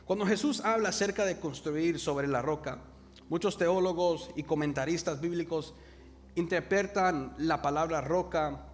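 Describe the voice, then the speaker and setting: A young man from El Salvador with a Salvadoran Spanish accent, preaching a sermon and calling the congregation to repeat words after him. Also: a little high. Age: young adult. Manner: calls the congregation to repeat words, slows for emphasis. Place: church hall. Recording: reverberant hall with faint noise.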